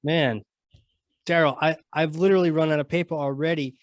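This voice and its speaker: A man's voice: fairly high pitch, steady tone, a little fast, no echo, clean studio sound. A man hosting a casual podcast interview, asking questions in a playful way. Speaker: podcast host.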